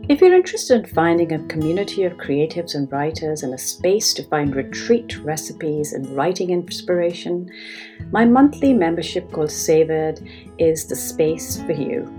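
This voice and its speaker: A woman with a notably low voice hosts a relaxed podcast conversation. She speaks with enthusiasm and laughs easily, and soft guitar music plays faintly under her. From grew up hearing Urdu. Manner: warm, gushing, laughs often. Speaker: podcast host. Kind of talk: conversational interview.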